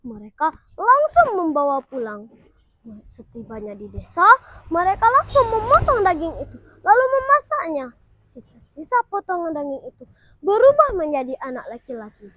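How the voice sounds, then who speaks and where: A woman's voice: extremely high pitch, fairly bright tone, no echo. A primary school girl telling a folktale in a dramatic, declamatory style. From Indonesia. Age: child, primary school pupil. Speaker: student storyteller. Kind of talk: theatrical folktale storytelling.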